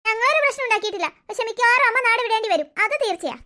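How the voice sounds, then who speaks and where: Two teenage girls tease their mother and drag out the suspense in mock-ominous tones, as a woman voices them. Their voices are very high, bright and quick. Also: expressive, full character voice. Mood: teasing. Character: two teasing teenage daughters.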